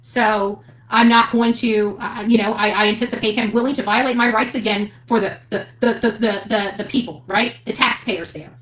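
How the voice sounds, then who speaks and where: A woman's voice: medium pitch, fairly even intonation, moderate pace, few pauses, a bit bright, rough recording. A woman ranting unscripted to her online audience in a defiant, indignant, emphatic manner. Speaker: online commentator covering court cases.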